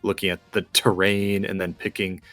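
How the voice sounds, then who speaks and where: A man's voice: sits low, monotone, normal speed, pushed and bright, no echo, soft background music. A man chatting casually while playing a game, musing and explaining his thinking as he goes. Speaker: gamer co-hosting a gameplay video.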